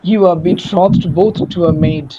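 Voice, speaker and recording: A performer playing a tender, wondering young gentleman, a long-lost twin brother, in a dramatic Shakespeare reading. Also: mid-range voice, measured pace, background noise, natural voice. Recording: dull lo-fi audio.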